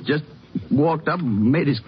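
An American actor playing a worried young witness in a radio drama, hesitant and stammering. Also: male voice, fairly high pitch, unhurried, close to an everyday voice. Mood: shaken, worried. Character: shaken, worried, earnest young male witness. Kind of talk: 1950s radio acting. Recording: dull vintage radio recording, faint noise.

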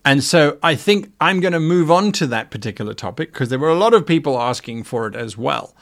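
A man with a somewhat high voice that rises and falls a lot, hosting a casual livestream. He talks in an earnest, thoughtful way, pausing and restarting as he works through his points. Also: animated, vocal fry, fairly bright tone. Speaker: podcast host and game master.

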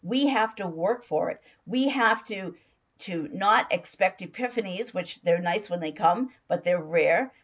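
Hoarse woman, emphatic weight-loss coach. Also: mid-range voice, animated, a little slow, dull audio. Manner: emphatic, repeats phrases for stress. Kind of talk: motivational talk.

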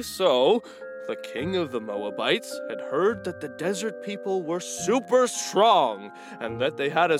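Wildly animated, boastful little donkey. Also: medium pitch, heavily acted. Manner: exuberant, self-assured, chatty. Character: small, clumsy, boastful, excitable young male donkey storyteller. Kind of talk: children's audio drama acting.

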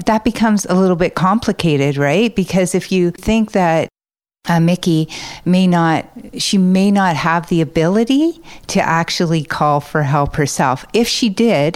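A woman's voice: low-pitched, darkish tone, clear, resonant tone. A woman explaining things as a panel guest, conversational, often voicing example remarks as she goes.

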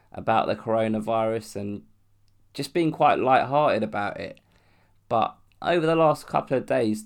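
Rambling young man with a cold. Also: fairly low pitch. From London. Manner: rambling, restarts often, swears casually. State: has a cold and sore throat. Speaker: podcast host. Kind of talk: casual solo podcast monologue.

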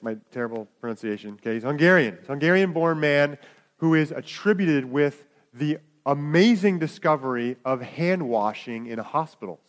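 A man preaching a sermon, in a conversational way with asides to the congregation. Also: mid-range voice. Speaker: pastor.

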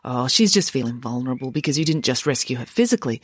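Reader doing a gushy teasing schoolgirl, lilting and lively. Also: mid-range voice, quick pace, dry recording, studio quality, close to an everyday voice. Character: teasing, romantic schoolgirl best friend.

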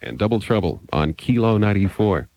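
A man's voice: lowish voice, lively intonation, a little fast. A man working as a radio DJ and interviewer, announcing in a 1980s rock radio style on an old hissy cassette.

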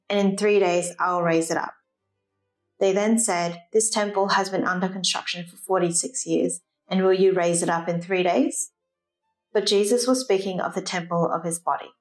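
Woman, formal scripture reading. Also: lowish voice. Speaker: scripture reader. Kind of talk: formal liturgical Gospel reading.

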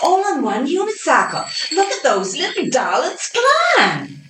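A woman's voice: sits high, sing-song, a little slow, echoey room, far from the mic, background noise. A woman playing a plucky, brave sidekick in an amateur radio play. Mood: urgent. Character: adult female detective's sidekick, plucky and brave.

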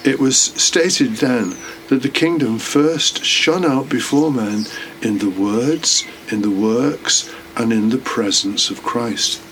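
A man's voice: medium pitch, slow pace, fairly bright tone, rough recording. A man delivering a scripted, sermon-like religious reflection as a podcast host. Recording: clear background noise.

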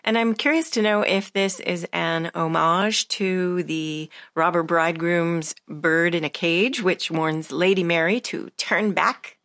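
A woman's voice: lowish voice, fairly even intonation, a little slow, few pauses, fairly bright tone, dry recording, clean studio sound. A woman hosting an interview in a formal, courteous, carefully worded way, as if from prepared questions. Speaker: interviewer and director.

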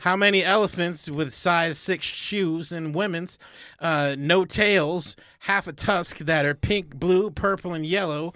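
A man with a bright, slightly high voice argues his way through casual comedic banter. He sounds mock-indignant and swears freely, recorded dry and close. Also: unhurried, dry recording. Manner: argumentative, profane, mock-indignant. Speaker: comedy game show contestant.

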